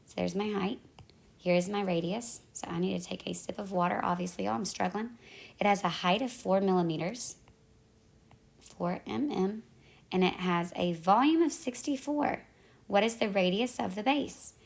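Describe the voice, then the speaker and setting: A woman's voice: mid-range voice, creaky, darkish tone. A woman teacher who is losing her voice, walking through math problems step by step and correcting herself as she goes. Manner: talks through calculator steps, self-correcting. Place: at home in the evening.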